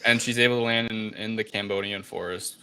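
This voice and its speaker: Male co-host, deep pitch, bright tone, halting casual chat. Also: fairly even intonation, smooth, poor audio. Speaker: podcast co-host. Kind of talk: casual movie review chat.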